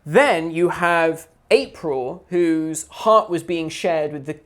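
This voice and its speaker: A man's voice: on the high side, slow pace. Chatty man retelling a plot. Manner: animated retelling, acts out characters' lines. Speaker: podcast co-host. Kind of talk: casual chat.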